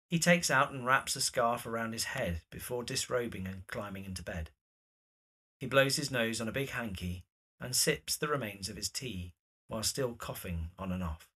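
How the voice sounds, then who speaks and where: Very husky narrator reading stage directions. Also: mid-range voice, pushed and bright.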